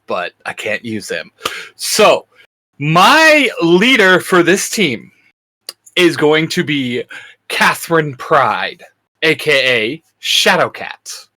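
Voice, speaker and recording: A man co-hosting a fan discussion in a casual, chatty, animated way, often interrupting himself. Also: mid-range voice, slow pace. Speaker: co-host of a fan discussion show.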